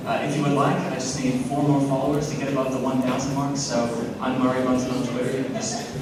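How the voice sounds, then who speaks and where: A man with a Hong Kong accent hosting a conference, hesitant with many fillers and self-deprecating jokes. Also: medium pitch. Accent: Hong Kong English. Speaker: conference host. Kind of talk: emcee introductions with jokes. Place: conference hall. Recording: echoey hall, distant mic, noise.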